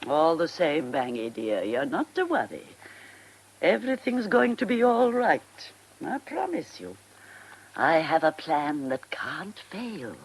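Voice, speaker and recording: An actress playing an elderly genteel former stage star, theatrical and gracious, with an upper-class English accent and a husky, breathy voice, in a British radio drama. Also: female voice, deep voice, sing-song, slow pace, slight background noise, exaggerated voice. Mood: confiding, resolute. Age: 60s or older.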